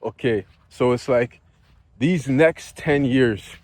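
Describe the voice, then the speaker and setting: A man's voice: mid-range voice, slow, a little mellow, no echo. A man in his thirties talking earnestly and casually about life goals, often asking "you know?" as he reflects. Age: 30s. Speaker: vlogger. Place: outdoors near geese.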